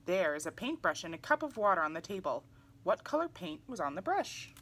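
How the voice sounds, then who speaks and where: Animated young woman reading to children. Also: lowish voice, brisk. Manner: animated, asking questions of young listeners. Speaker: read-aloud storyteller for children. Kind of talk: children's picture book read-aloud. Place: small indoor room, seated in armchair.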